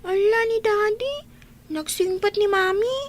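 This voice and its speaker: Very high-voiced little girl. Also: steady tone, measured pace. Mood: innocent, earnest. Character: young daughter, innocent child defending her mother. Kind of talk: Ilocano radio drama acting.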